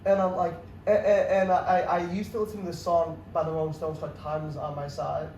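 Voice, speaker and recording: Young male comedian guest, high voice, excitable rambling storyteller, roomy distant mic. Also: fairly quick. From New York City area. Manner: excitable, rambling, lots of "like" and restarts. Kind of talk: casual storytelling chat. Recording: echoey room, mic at a distance, faint noise.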